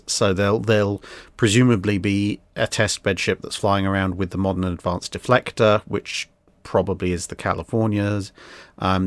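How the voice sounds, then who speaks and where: A man's voice: sits low, dry recording. A man chatting casually and analytically, rambling a little as he thinks aloud. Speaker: discussion guest.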